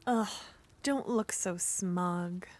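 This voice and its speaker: A woman performing an ASMR roleplay as a jealous, possessive witch, speaking softly and often whispering. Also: fairly low pitch, drawn-out words. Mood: haughty. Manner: soft-spoken, teasing, often whispering. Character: jealous possessive young witch, teasing and haughty.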